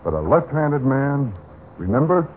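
An actor playing a shrewd, steely man cornering a killer in a suspenseful Golden Age radio drama, speaking deliberately and with command. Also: medium pitch, big pitch swings. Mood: coolly reasoning. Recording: noisy tinny vintage radio recording.

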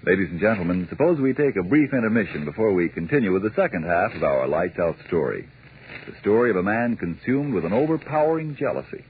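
An American man announcing and reading a scripted sales pitch in 1940s radio style. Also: sing-song, fast talker. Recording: tinny, hissy 1940s radio recording.

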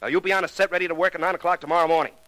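An American actor playing a bossy, impatient Hollywood film director in a 1940s radio drama, brusque and commanding. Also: male voice, high voice, fairly even intonation, very fast, bright, forceful tone, no room sound. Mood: exasperated. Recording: vintage radio with busy background sound.

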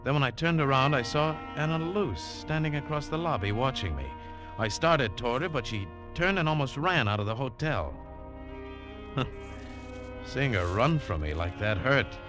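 A man's voice: medium pitch, lively intonation, moderate pace, close to an everyday voice. An actor playing a tough male adventurer who narrates his own story in a wry, terse manner. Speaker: narrator and lead. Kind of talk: 1950s radio drama acting. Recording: old radio broadcast with music under it.

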